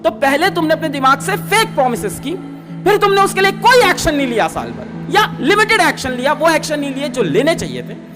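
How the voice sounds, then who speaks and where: A woman's voice: sits high, very animated, fairly quick. A woman giving a motivational lecture in a scolding manner, with rhetorical questions and repeated emphasis. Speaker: motivational teacher. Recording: loud music over the voice. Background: loud music.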